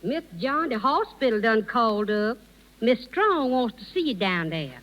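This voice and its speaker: Female household servant, folksy drawl-like pacing, very high swooping voice, reverberant muffled old radio. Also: sing-song, normal speed. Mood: urgent, deferential. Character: household servant calling out a message. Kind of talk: 1930s radio drama acting.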